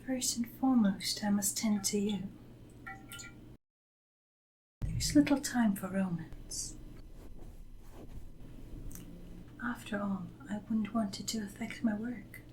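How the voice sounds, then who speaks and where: A woman playing a devoted, deferential Regency lady's maid in a soft-spoken, often whispered ASMR roleplay, with formal, gentle speech. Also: medium pitch, moderate pace, slight background noise, natural voice, poor audio. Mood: devoted, gently reassuring.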